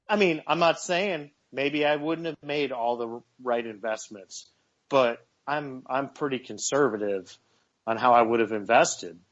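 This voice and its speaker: A man in his forties talks on a casual radio show at an average pitch, earnest and often doubling his words as he builds a point. The audio is a bit dull and lo-fi. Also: measured pace. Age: 40s. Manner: earnest, repeats words while gathering thoughts. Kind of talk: casual talk radio chat.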